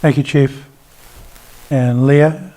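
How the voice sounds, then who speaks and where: A man's voice: medium pitch, drawn-out words, warm tone, low-quality recording. A man chairing a public meeting, moderating the discussion and handing the floor to speakers.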